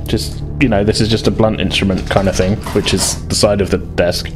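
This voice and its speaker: Low-voiced, informal male game master. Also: fairly even intonation, fairly quick, music in the background. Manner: hedging, informal. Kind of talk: narrating a tabletop game, conversational.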